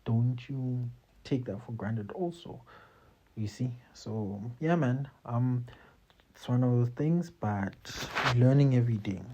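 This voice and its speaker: A man's voice: medium pitch, lo-fi sound, noisy background. A man hosting casual career-advice talk, conversational and repetitive, often tagging his points with "you see" and "man". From South Africa. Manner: conversational, repeats phrases, tags lines with "you see" and "man". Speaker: host giving career advice.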